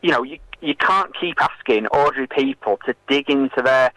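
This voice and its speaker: A British man speaking as a campaigner in a radio interview, explaining and arguing in a halting way with many filled pauses. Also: mid-range voice, fairly bright tone, no echo, rough recording. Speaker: political campaigner and interview guest.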